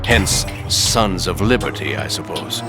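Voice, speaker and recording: A man's voice: low voice, animated, measured pace, over loud music. A man playing a weary, secretive middle-aged colonel in a game cutscene, explaining things in a terse, knowing way. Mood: grim, impatient. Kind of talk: dramatic video game cutscene acting.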